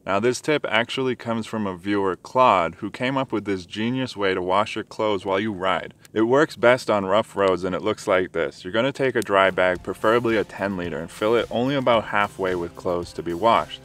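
Man, 30s, slightly low voice, friendly how-to tips, outdoor campsite, arm's-length camera. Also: moderate pace, few pauses, a bit bright. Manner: friendly, direct how-to delivery. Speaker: motorcycle camping vlogger. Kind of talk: scripted tips vlog. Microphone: camera held at arm's length.

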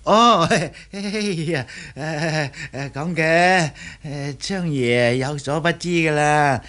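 High-pitched fawning, stammering coward. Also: male voice, slow, bright, forceful tone. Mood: boastful, fawning. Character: servile, boastful, cowardly young Shaolin disciple man. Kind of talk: Cantonese radio drama acting.

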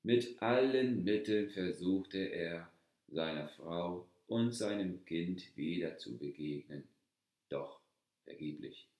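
A man reads a fairy tale in a deep, low voice, calm and measured. He takes his time, and the room around him rings with echo. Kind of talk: slow storybook narration.

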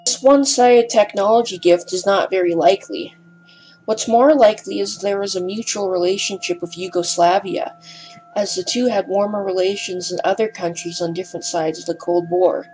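Woman narrator, mid-pitched, a bit hesitant and breathless, scripted voice-over over faint music. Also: low-quality recording. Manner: slightly hesitant, breathless delivery. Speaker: documentary narrator.

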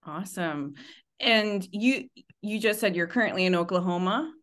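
Woman podcast host, medium pitch. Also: normal speed, a bit bright. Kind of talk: podcast interview hosting.

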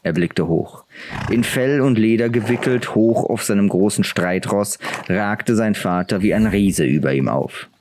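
A man's voice: fairly low pitch, unhurried, a little mellow, slight background noise. A man reading a scripted fantasy story aloud as an audiobook narrator.

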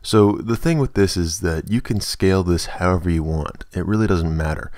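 A low-pitched man hosts an informal software tutorial. He explains each step in a casual, conversational manner. The voice-over is dry and close to the mic, with very little room sound. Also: moderate pace, no room sound. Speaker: tutorial host.

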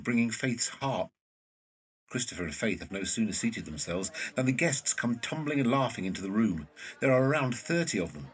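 A man's voice: on the low side, low-quality recording. A man narrating an audio drama from a script as storyteller.

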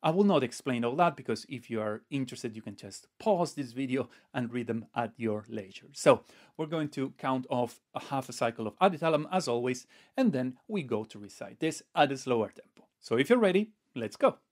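A man in his fifties giving a methodical, step-by-step lesson to camera as a music teacher, pausing as he explains. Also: mid-range voice, expressive. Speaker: music teacher, online video host.

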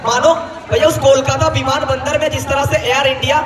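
Shouting cricket commentator over loud crowd. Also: male voice, very high voice, little pitch movement, brisk. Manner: rhyming, showy, shouted commentary. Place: floodlit outdoor cricket ground. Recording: echoey PA sound from far off, loud crowd.

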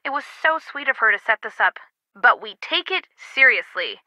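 An actress playing a brisk, wry young woman, a loyal friend and teammate, in a casual video game delivery. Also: on the high side, animated, pushed and bright, no echo, lo-fi sound. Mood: focused, wry.

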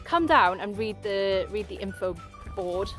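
A young woman with a Swansea Welsh accent, chatting excitedly as she vlogs a windy clifftop walk, filmed on a handheld camera. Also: mid-range voice, unhurried, over music. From Wales. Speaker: vlogger. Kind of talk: casual outdoor vlog. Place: windy clifftop coast outdoors. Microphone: handheld camera at arm's length.

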